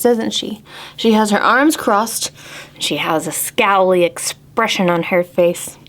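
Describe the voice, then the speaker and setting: A woman hosting a children's storytime, reading aloud in an animated, exaggerated way and putting questions to young listeners. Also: medium pitch. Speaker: children's librarian, storytime host. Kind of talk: children's picture-book read-aloud and singalong.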